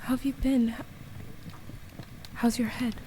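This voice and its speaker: Casting: woman as captive young goddess for radio drama, highish voice, slow halting delivery, weary yet defiant; noisy recording. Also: flat delivery, dark tone, natural voice. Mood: coaxing. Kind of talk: radio play acting.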